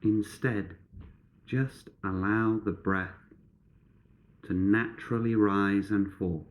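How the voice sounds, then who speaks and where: A man guiding a meditation in measured, instructive short phrases with pauses between them. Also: fairly low pitch, fairly even intonation. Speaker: meditation guide.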